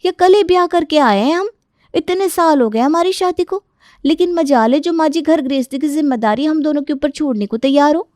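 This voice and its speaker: A woman's voice: high voice, fairly quick, darkish tone, dry recording, clean studio sound, pitched above the performer's own voice, natural voice. A woman voicing a hot-tempered young daughter-in-law who complains in exasperation in a family story. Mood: exasperated, angry.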